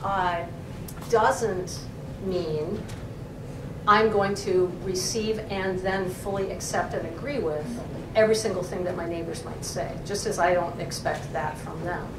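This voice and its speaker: A woman's voice: fairly low pitch, steady tone, unhurried. Female academic, earnest and searching for words, heard from a distance in an echoey room. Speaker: professor and co-editor giving a library talk. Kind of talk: discussion in an academic talk. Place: room in a university library. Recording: echoey room, distant mic, background noise.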